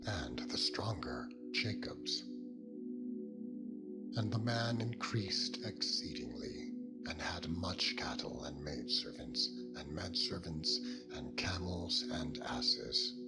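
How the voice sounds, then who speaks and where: A man reads scripture in a solemn, measured way as a Bible narrator. His voice sits fairly low yet swings widely in pitch, over loud meditative music. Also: animated, whispered. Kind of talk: slow dramatized scripture reading. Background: loud meditative music with singing bowls.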